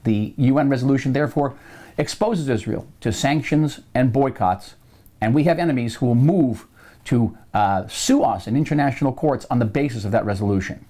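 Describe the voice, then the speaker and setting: A man speaking in a mellow, low-key tone while delivering a forceful political argument. He presses each point insistently and builds toward a call to action, speaking straight to the viewer. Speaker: political commentator. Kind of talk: impassioned political address to camera.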